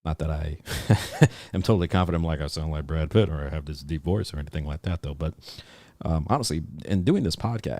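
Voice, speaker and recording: A man's voice: a bass voice, gravelly, darkish tone, breathy edge, dry recording. A man hosting his own podcast livestream, chatting casually and rambling with lots of fillers and restarts. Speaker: podcast host.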